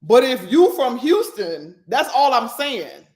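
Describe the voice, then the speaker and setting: A woman's voice: fairly high pitch, sing-song, takes it slowly, darkish tone. Woman, podcast host, casual monologue, emphatic, playful, echoey room. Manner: emphatic, keeps checking in with listeners; playful, laughs at her own jokes.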